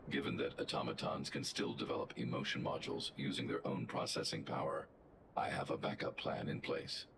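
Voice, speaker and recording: An actor playing a huge, logical male robot guardian in a video game, with a flat, precise, mechanical delivery. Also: a bass voice, fairly quick, vocal fry, background noise. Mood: calm, clinical. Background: game music.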